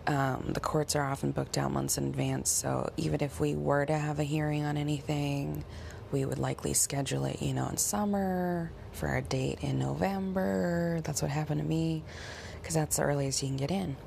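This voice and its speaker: A woman in her thirties from the United States hosting her own podcast, hoarse and gravelly from bronchitis, talking in a halting, casual way with many ums. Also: sits low, steady tone, a little mellow, slight background noise. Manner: halting, frequent ums, slows to stress points. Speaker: podcast host. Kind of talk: casual personal update.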